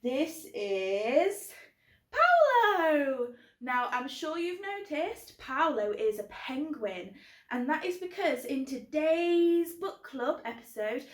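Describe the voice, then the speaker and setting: British lady presenting for little kids, highish voice, echoey room. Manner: simple, direct address to young viewers. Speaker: host of a children's book club. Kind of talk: children's presenting.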